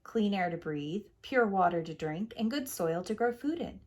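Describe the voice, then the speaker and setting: A woman's voice: medium pitch, expressive, normal speed, a little mellow, lightly acted. A woman voicing a solemn male bear professor in a storybook, explaining things earnestly. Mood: grave, earnest.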